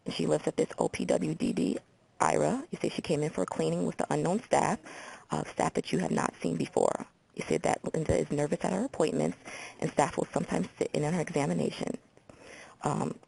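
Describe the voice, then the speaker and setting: Woman acting female hotline call taker, American accent, very heavy vocal fry, husky, businesslike, methodical, training role-play. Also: low voice, steady tone, brisk, darkish tone, exaggerated voice, low-quality recording. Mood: businesslike, procedural. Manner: procedural, reads details back methodically. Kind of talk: simulated phone intake interview for training.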